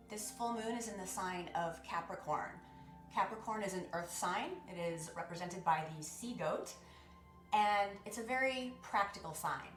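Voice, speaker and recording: A woman hosting a guided meditation, speaking to listeners in a measured, instructive way as she gives spiritual guidance. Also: mid-range voice. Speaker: guided meditation and sound bath host. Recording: roomy echo with music. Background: music.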